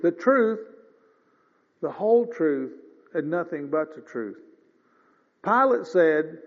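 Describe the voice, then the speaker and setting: A man in his fifties preaching a sermon in a folksy, conversational way, often clearing his throat. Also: high voice. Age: 50s. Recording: dull lo-fi sound with long reverb.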